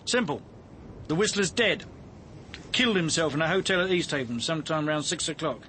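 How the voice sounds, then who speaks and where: Blunt police inspector, lively pitch. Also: male voice, a little fast, slight background noise. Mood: matter-of-fact. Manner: blunt, wry, clipped. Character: gruff, sardonic male police inspector. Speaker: actor in a radio detective drama. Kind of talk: acted British radio drama dialogue.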